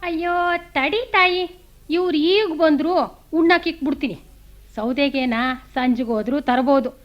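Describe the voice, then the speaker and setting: Woman acting a high-voiced village daughter-in-law. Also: fairly even intonation, normal speed, muffled recording, close to an everyday voice. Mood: placating. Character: dutiful village daughter-in-law, busy and placating. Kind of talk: rural radio drama acting.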